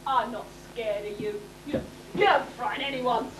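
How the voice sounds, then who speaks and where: A woman's voice: fairly high pitch, moderate pace, natural voice. A woman playing a cheeky, brave young hero in an amateur stage pantomime, tossing out quips. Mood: defiant. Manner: cheeky, defiant, quipping. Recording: echoey hall, distant mic, noise and hum.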